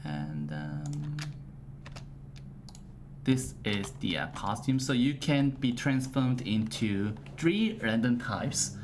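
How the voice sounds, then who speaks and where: A man hosting a casual game livestream, talking in halting, filler-heavy phrases. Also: medium pitch. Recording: steady background noise with typing.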